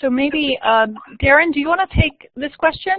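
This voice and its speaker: A woman moderating an online discussion, reading questions in a brisk, polite way. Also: high voice, no echo. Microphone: online call audio. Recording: dry, tinny phone-like line.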